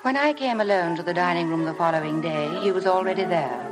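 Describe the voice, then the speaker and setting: An actress playing a shy, self-effacing young paid companion in a 1950s radio drama. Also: female voice, lowish voice, fast, close to an everyday voice. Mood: wistful. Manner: hesitant, apologetic. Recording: old radio broadcast with music.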